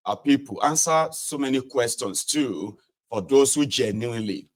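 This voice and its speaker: A man's voice: medium pitch, expressive, normal speed. A man hosting a casual livestream podcast, talking to his listeners and checking in with short tag questions. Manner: conversational, checks in with listeners using "eh?" and "right?". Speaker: podcast host reading a book aloud.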